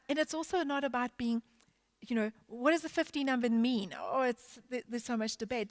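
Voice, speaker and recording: A woman's voice: fairly high pitch, dry recording. A woman talking through her thoughts as a church minister in an unscripted discussion, earnest and emphatic, repeating phrases to press her point.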